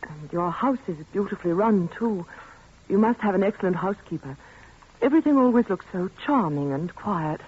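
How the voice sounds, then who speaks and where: A woman's voice: mid-range voice, lively intonation, a little fast, a little mellow, breathy edge. An actress playing a polite, refined young woman in 1940s radio drama. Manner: prim, refined. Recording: dull old radio recording.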